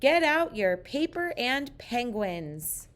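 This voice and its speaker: Storybook schoolteacher, acted by a woman: silly and cheerful, high-pitched, swooping sing-song announcements. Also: very animated, slow pace, a bit bright, exaggerated voice. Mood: cheerful announcing.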